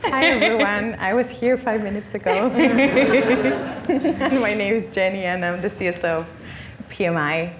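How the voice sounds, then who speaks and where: A woman's voice: mid-range voice, a little slow, a loud crowd. A woman from Chile with a Chilean Spanish accent, casually introducing herself as a panelist with a laugh. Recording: echoey room with noise, off-mic.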